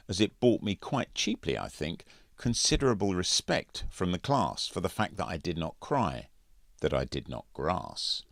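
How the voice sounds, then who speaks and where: Very low-voiced man reading his story. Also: vocal fry, no echo, clean studio sound. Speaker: author reading own audiobook. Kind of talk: scripted first-person literary narration.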